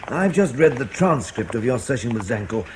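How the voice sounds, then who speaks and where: A man playing a dogged, controlled intelligence officer in a radio drama, questioning in a measured, pointed way. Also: medium pitch, very animated, background noise, natural voice. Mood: brisk, probing.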